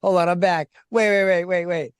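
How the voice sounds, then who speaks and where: A man hosting a casual video call, talking excitably and repeating his words. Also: high voice, normal speed, clean tone.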